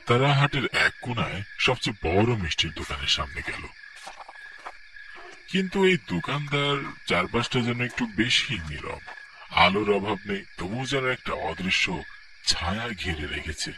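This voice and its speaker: Dramatic low-voiced cartoon narrator, man. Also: big pitch swings, a little slow. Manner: dramatic, hushed for suspense. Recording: distant mic with noisy background.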